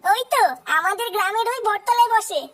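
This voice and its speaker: An actor voicing a naive young village wife in a cartoon, with a shrill, simpering, pitched-up voice. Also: brisk, very noisy. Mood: coy. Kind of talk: comic cartoon dubbing.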